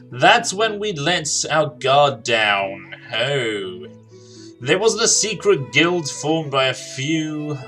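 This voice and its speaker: A man's voice: medium pitch, fairly bright tone. A man doing casual, jokey gaming commentary, talking to himself and reading game dialogue aloud. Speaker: gaming streamer.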